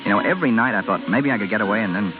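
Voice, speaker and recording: An actor playing an earnest, bashful young American suitor in old radio drama style, talking colloquially with stammers and halts. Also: male voice, lowish voice, rapid-fire, over music, lightly acted. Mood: bashful, fond. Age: young adult. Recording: dull old radio recording.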